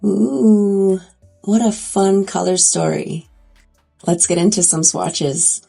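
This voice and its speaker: A woman's voice: medium pitch, slow pace, vocal fry, dark tone, slightly rough, soft background music. A congested woman chatting casually and enthusiastically in a makeup review video. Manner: chatty, enthusiastic about swatches. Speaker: beauty vlogger.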